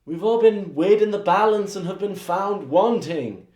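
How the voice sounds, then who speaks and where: An actor playing an angry, sarcastic young painter's assistant in a theatrical monologue, swearing in rapid tirades. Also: male voice, sits high, darkish tone, echoey room. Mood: sarcastic fury.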